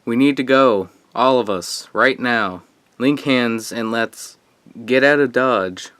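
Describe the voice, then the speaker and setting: A man's voice: medium pitch, slow pace, fairly bright tone, no echo. An actor playing a grave, commanding teenage boy in an audio drama. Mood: urgent.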